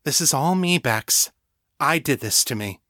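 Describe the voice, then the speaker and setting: A man's voice: medium pitch, lively intonation, a little slow, sharp tone, dry recording, studio quality, voice pushed up. A man voicing a proud, headstrong woman in an audiobook, blunt, emphatic and defiant. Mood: defiant, angry.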